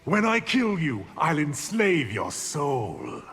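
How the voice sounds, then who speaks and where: A man voicing an arrogant, sinister underworld sorcerer in a video game, with a smug, taunting, deliberate delivery. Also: a little high, wildly swooping pitch, fairly bright tone, heavily acted. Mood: smug menace. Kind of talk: video game villain acting. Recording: game dialogue with music behind.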